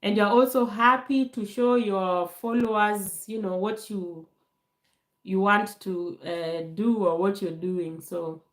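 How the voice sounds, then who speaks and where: Calm, slow-talking woman on a livestream. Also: mid-range voice, echoey room. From Kenya. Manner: calm, slow, laughs often, many fillers. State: recovering from a bad cold. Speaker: livestream host. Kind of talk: casual live Q&A chat. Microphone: phone held to camera.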